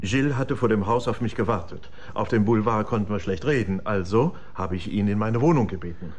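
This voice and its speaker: An actor playing a haughty, irritable well-to-do gentleman with something to hide in a German radio crime drama. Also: fairly low pitch, brisk, close to an everyday voice. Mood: indignant. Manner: imperious, agitated, emphatic.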